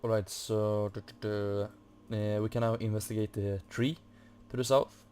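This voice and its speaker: Low, flat-toned man doing game commentary. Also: moderate pace, clean tone. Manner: hesitant, muttering to himself. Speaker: gaming video host. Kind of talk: casual game walkthrough commentary, reading on-screen text. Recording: faint noise from a fan. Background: computer or room fan.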